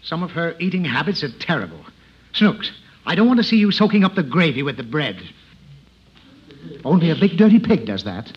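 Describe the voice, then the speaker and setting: A fussy, exasperated father in an old radio comedy. His voice sits rather high and swoops dramatically as he scolds, on a dull, slightly noisy recording. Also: sing-song, brisk, long pauses. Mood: fussy, scolding. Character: exasperated, scolding father of a naughty little girl. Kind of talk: 1950s radio comedy acting.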